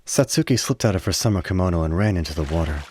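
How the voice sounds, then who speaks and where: A man narrating a radio play with measured, literary dramatic storytelling. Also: low-pitched, lively intonation, a little mellow, a little hiss or hum.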